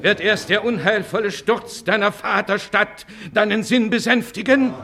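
An actor playing a fiery advocate in a radio play, declaiming bombastic accusations in with rhetorical questions. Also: male voice, fairly high pitch, a bit bright. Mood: indignant, solemn. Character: fiery male advocate accusing priests of corruption. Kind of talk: theatrical courtroom oratory in a German radio play.

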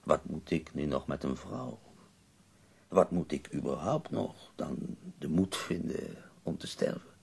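An actor playing an ailing, bedridden poet in a radio drama, wry and death-weary, speaking theatrically with dramatic pauses. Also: male voice, rumbling low, lively intonation, darkish tone, full character voice. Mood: weary, melancholy. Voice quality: husky, gravelly.

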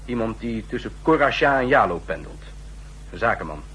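A man acting a suave, scheming official of a foreign state in a 1960s radio drama, formal, theatrical and deliberate. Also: medium pitch, very animated, fairly bright tone. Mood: sly, confidential. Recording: noisy old 1960s radio recording.

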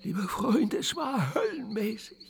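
Pompous, highish-voiced eagle owl. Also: male voice, big pitch swings, a little slow, creaky, a little hiss or hum, heavily acted. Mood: horrified. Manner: theatrical, formal, aggrieved storytelling. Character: pompous, dramatic old male eagle owl. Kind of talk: children's radio play acting.

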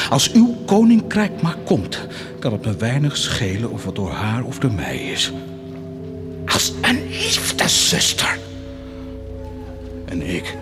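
An actor playing a proud, tormented, brooding man in a theatrical radio drama, moving between muttering and anguished outcries. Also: fairly low pitch. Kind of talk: stage-like radio drama acting.

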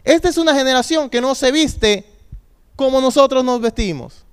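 Male youth pastor, thirties, high smooth voice, preaching with repetition and call-and-response. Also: lively intonation, normal speed. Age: 30s. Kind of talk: sermon.

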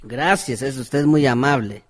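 A man's voice: medium pitch, measured pace, natural voice. A man with a Guatemalan accent acting a humble, worried, courteous village father in an amateur radio drama. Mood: worried, polite. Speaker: actor in community radio drama.

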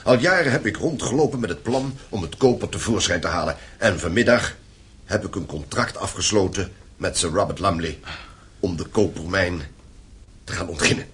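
A stern landowning patriarch in a radio play. His voice is very deep and heavily husky, and he speaks with formal, domineering command. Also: expressive, moderate pace, fairly bright tone, exaggerated voice. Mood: grave, purposeful.

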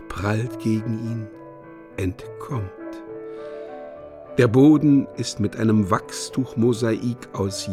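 A man narrates a scripted radio play in a lowish voice. He is slow and measured, placing each word with precision. Music plays clearly behind him.

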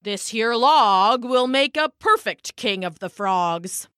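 Lady doing a grumpy old bullfrog guy for a storybook, bright forceful voice, blustery and pleading, slow and dry. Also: on the high side, expressive, no room sound, heavily acted. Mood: sheepish, mumbling. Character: grumpy, bulky old male bullfrog, blustering then cowed.

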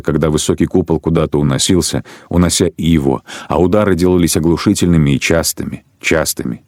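A man narrating a radio drama in a measured, sombre literary style. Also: rumbling low, a little mellow, no echo, studio quality. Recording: music bed under narration.

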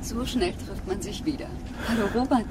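An actress playing a cool, teasing, inquisitive woman traveller in a radio drama, measured and dry in manner. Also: mid-range voice, expressive, background noise, lightly acted. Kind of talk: German radio drama acting.

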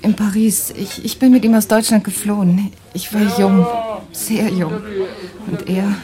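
An actress playing an anguished young refugee wife in a radio play, speaking haltingly and intensely, at times breathy. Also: female voice, medium pitch, steady tone, a crowd in the background, close to an everyday voice. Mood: haunted recollection. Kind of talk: dramatic radio play acting.

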